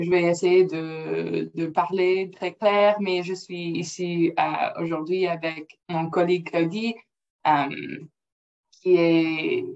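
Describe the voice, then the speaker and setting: A woman whose first language is English presenting slides in French, careful and halting with non-native phrasing, over a computer mic on a video call. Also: fairly low pitch, gravelly, a little mellow. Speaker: project officer presenting. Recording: dull lo-fi call audio.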